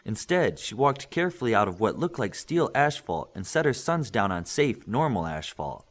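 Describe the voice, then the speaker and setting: A man reading a superhero story aloud as scripted narration, in an expressive storytelling manner. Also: fairly low pitch, moderate pace. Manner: expressive storytelling, acting out dialogue. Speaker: audiobook narrator.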